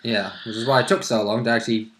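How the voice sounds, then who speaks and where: A man's voice: mid-range voice, fairly even intonation, fast, a bit bright. A man casually commenting as a player and co-host in a conversation about a tabletop game. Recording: roomy echo on the voice.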